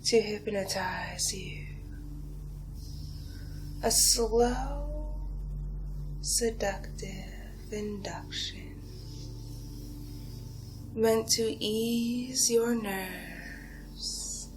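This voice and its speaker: A woman playing a seductive, menacing vampire in an ASMR horror roleplay, speaking in a breathy, drawn-out half-whisper with hypnotic, teasing menace. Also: fairly high pitch, music in the background. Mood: hypnotic, predatory.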